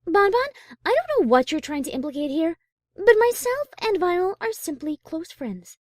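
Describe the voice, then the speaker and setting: A woman voicing a haughty, easily exasperated high-class pony musician with formal, indignant diction in a story reading. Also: big pitch swings, moderate pace, dry recording, studio quality. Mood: flustered indignation.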